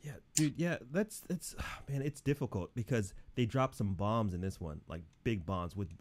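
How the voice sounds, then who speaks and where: A man's voice: fairly low pitch, moderate pace. A young man chatting casually about an episode in a laid-back, easygoing way. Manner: laid-back, says dude a lot. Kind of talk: casual review chat.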